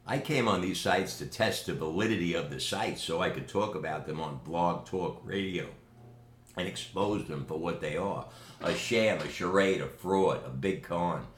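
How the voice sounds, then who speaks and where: An older man from New York City with a New York accent, recording a boastful, emphatic video message at home. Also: sits low. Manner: boastful, emphatic, slow deliberate delivery. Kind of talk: direct-to-camera video message. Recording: echoey room with faint ambient noise.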